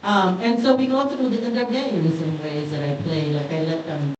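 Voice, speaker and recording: A woman with a Cuban Spanish accent telling relaxed anecdotes on a panel, in a large hall. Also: sits low, moderate pace. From Cuba. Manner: relaxed, anecdotal, light laughter between points. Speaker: panelist artist. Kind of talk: conversational storytelling on a panel. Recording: echoey hall, voice from far off the mic, dull sound, faint noise.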